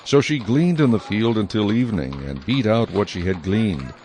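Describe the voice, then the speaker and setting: A man reading scripted Bible narration in a measured, solemn manner. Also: on the low side, animated, darkish tone, slight background noise.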